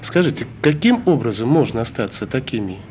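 A man's voice: medium pitch, sing-song, a little fast, a little mellow. Middle-aged male interviewer, faintly noisy recording. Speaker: television interviewer. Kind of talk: interview questioning. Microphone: handheld interview microphone. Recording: noisy 1990s archive video sound.

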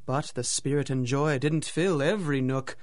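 A man's voice: medium pitch, normal speed, lightly acted. A man narrating a radio drama in a theatrical, dramatic storytelling manner.

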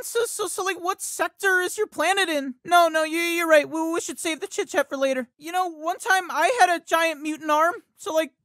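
A man's voice: very high-pitched, sharp tone, no echo. A man voicing an anxious, awkward fourteen-year-old cartoon boy for a video game, with a cracking adolescent voice and a hesitant, stammering delivery. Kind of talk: video game character voice lines.

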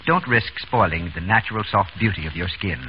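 A man's voice: low voice, animated, fairly quick. A man announcing a scripted 1930s radio advertisement in a polished, persuasive, formal way. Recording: dull, faintly noisy 1930s radio broadcast.

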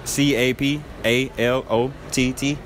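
A man's voice: medium pitch, steady tone, measured pace, slight background noise. Laid-back young man in a mall. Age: young adult. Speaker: interviewee. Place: indoor shopping mall.

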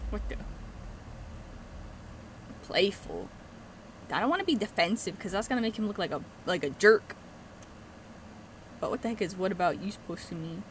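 An excitable young woman narrating her gameplay, her pitch leaping all over as she reacts. She talks into a headset with faint background noise. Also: normal speed, pauses often. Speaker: streamer. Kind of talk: casual gameplay commentary.

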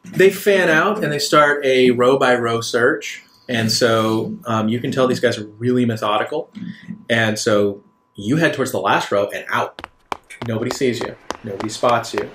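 A man runs a tabletop game in an echoey room. His voice sits at a middling pitch, and he improvises haltingly, thinking aloud as he narrates. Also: fairly bright tone, a little hiss or hum. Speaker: game master.

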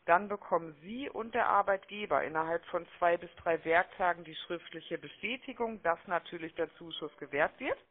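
Woman cold caller on a tinny phone line, chatty persuasive sales pitch, keeps asking for agreement. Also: normal speed, hardly any pauses. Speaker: telemarketing caller. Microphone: telephone call.

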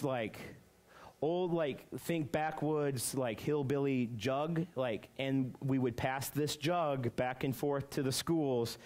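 A man in his thirties giving a loud, energetic youth group talk, storytelling with many fillers in a church youth room. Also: medium pitch. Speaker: youth pastor.

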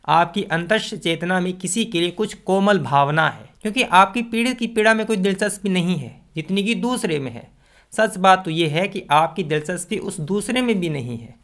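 Young guy, kinda high voice, arguing his opinion into a close desk mic, punchy delivery. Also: fairly bright tone. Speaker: video commentator. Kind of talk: scripted opinion commentary to camera. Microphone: desk condenser microphone, close.

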